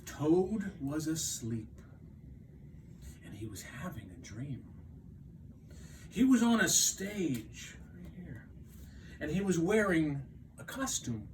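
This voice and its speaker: A man reading aloud to young children as a storytime teacher, expressive, with dramatic pauses and stretched emphasis. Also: on the high side, distant mic, a little hiss or hum.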